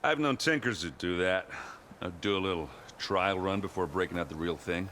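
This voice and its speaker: A man's voice: low voice, normal speed, a bit bright, slight background noise. An actor playing a boisterous male warrior companion in a video game, talking in a chatty, playful, wisecracking way. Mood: curious. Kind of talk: video game cutscene acting.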